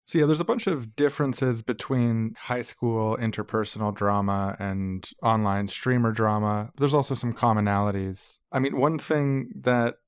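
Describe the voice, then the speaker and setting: A man's voice: medium pitch, normal speed, hardly any pauses, clear, resonant tone, no echo. Analytical male podcast host, dry close recording. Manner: analytical, wordy. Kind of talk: discursive podcast discussion.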